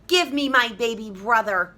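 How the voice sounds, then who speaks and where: A determined young storybook big sister, voiced by a woman, sounding bright and sure of herself. She is assertive and plain-spoken. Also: fairly high pitch, normal speed.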